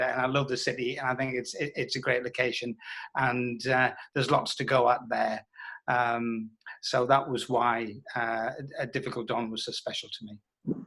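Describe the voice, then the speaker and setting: A middle-aged man being interviewed as a writer, speaking reflectively and haltingly. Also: mid-range voice, fairly bright tone, rough recording. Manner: thoughtful, halting delivery. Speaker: interviewed writer who adapted his father's stories.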